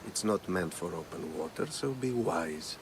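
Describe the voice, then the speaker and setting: An actor playing a middle-aged villain in a video game, smooth and cold, speaking in a measured, condescending way. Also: male voice, deep voice, lively intonation, noisy background. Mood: calculating. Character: smooth, sinister middle-aged male schemer and employer. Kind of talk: video game cutscene acting.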